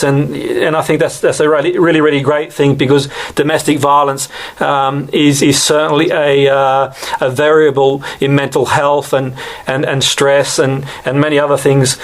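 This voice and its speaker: A man hosts a local radio sports show, winding through long questions with repeated words and filler. His voice sits a little higher than most men's. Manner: rambling, hesitant, many repeated words and fillers. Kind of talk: radio interview.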